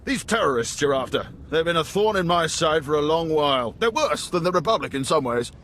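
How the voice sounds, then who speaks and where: Older male intelligence chief, genial and measured, fairly high voice, game dialogue over noisy ambience. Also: animated, a bit bright. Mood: grave, measured. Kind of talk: video game dialogue acting.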